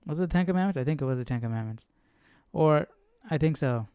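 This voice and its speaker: A man hosting a casual Bible study, reading aloud and commenting as he questions himself and thinks out loud. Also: fairly high pitch, animated, fast talker, smooth, no echo, studio quality. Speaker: host of a Bible study stream.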